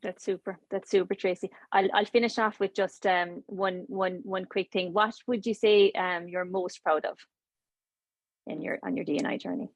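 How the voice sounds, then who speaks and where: A woman hosts an online panel interview. She speaks in a flat, even way, warmly encouraging her guests, with many fillers and repeated words. Her voice sounds dry and close. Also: medium pitch, normal speed, no echo. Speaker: webinar host.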